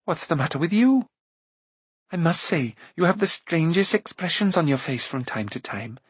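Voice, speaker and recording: A man's voice: a little high, very animated, husky, no room sound, voice pushed up, heavily acted. A man voicing a headstrong young princess from a storybook, chattering and exclaiming with eager indignation. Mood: indignant. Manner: rushed, breathless, exclaiming chatter.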